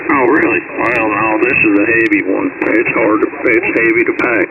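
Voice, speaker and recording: A man with a gravelly Southern US accent chatting casually in a folksy, rambling way over shortwave ham radio. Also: fairly low pitch, moderate pace. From Kentucky, United States. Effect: shortwave SSB radio. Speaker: amateur radio operator. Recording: very noisy narrowband shortwave radio, distant.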